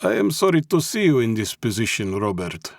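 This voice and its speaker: Man acting a clipped, smoothly menacing Russian mob boss. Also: lowish voice, big pitch swings, brisk, no room sound, clean studio sound, voice pushed up, heavily acted. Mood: smooth, falsely kind. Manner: clipped, pleasant, condescending. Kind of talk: audiobook character acting.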